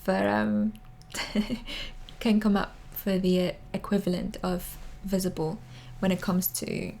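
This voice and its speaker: Woman around 30, english not her first language, talks real slow, lots of ums, repeats stuff to make a point. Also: mid-range voice, level tone, vocal fry, mellow, slight background noise. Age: 30s. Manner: hesitant, many ums and restarts; earnest, repeats words for emphasis. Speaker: vlogger. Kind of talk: casual advice talk to camera. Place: living in London.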